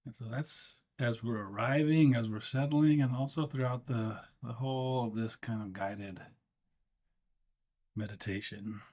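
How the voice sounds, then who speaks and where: A man leading a guided meditation as the guide, speaking gently and without hurry, often pausing mid-sentence. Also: mid-range voice.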